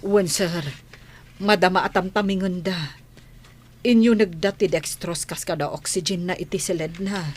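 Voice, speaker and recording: A woman's voice: lowish voice, steady tone, moderate pace, a little mellow, close to an everyday voice. A woman with an Ilocano accent playing a dutiful, anxious household helper in a radio drama. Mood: respectful, concerned.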